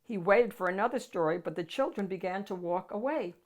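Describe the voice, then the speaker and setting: A woman reading a picture book aloud to children in an animated, expressive storytelling style with dramatic emphasis. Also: medium pitch, brisk.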